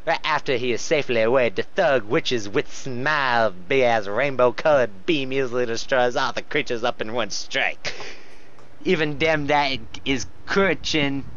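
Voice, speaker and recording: A man's voice: mid-range voice, normal speed, fairly bright tone. A man reading fan fiction aloud for laughs, with casual banter and frequent giggly breaks. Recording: muffled, noisy home recording.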